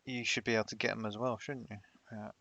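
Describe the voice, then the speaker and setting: A man's voice: lowish voice, little pitch movement, quick pace, pushed and bright, lo-fi sound. A man with a Sunderland accent hosting a geography guessing game stream, bantering bluntly and repeating his jokes. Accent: Sunderland English. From Sunderland, England. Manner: blunt, joking, repeats himself. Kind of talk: casual banter while playing.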